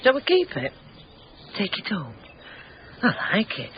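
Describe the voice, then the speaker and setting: An actress playing a curious, plain-spoken working-class Yorkshire wife, chatty and down-to-earth, in a naturalistic radio drama. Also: female voice, medium pitch, wildly swooping pitch, measured pace. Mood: curious, wistful. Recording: dull radio recording with some background noise.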